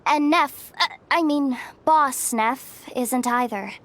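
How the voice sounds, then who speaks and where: A woman's voice: sits high, slow, fairly bright tone, a little hiss or hum. An actress playing a loyal, kind-hearted, streetwise young woman in a video game, speaking in an earnest, plucky, slightly guarded way. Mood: defiant, guarded. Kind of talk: dramatic video game voice acting.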